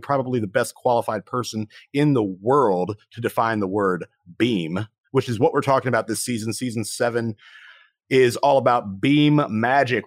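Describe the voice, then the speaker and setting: A man's voice: mid-range voice, no room sound, clean studio sound. A man hosting a tech podcast, upbeat and playful, with a casual chatty manner. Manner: upbeat, playful, repeats words. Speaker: podcast host. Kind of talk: podcast intro and interview.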